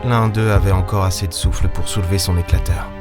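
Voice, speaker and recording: A man's voice: sits low, steady tone, quick pace, over loud music, lightly acted. A man playing a weary, lonely space captain, narrating an audio drama in brooding, hesitant short phrases. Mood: wistful, despairing. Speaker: narrator and lead character of an audio serial. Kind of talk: dramatic first-person audio fiction narration.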